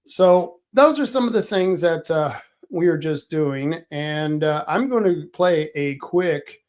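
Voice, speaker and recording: Rambling higher-voiced male show host. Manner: rambling, with restarts and fillers. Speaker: political talk show host. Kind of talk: casual livestream talk.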